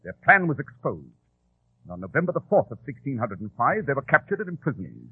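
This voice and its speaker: An actor in 1940s radio style playing a shrewd, precise, dryly condescending English detective. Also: male voice, medium pitch, very animated, fairly quick, breathy edge, no echo, full character voice. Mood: probing. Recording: tinny, band-limited old radio broadcast.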